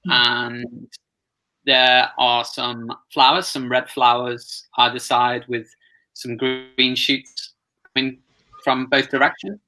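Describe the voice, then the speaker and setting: A man's voice: mid-range voice, flat delivery, slow pace, sharp tone, poor audio. A man taking part in a workshop, describing things off the cuff in hesitant, pieced-together phrases. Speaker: workshop participant. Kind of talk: unscripted description.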